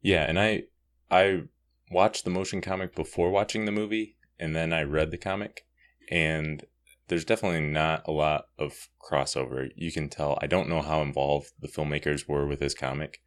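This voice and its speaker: A man's voice: a bass voice, fairly even intonation, moderate pace. A man hosting a podcast, talking casually through a comic book review. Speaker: podcast host.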